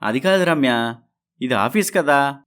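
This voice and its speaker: An actor as a lively-pitched man in a story. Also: measured pace. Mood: meek, placating. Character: men around the heroine: doctor, boutique owner, meek husband. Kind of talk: dramatised moral story voice acting.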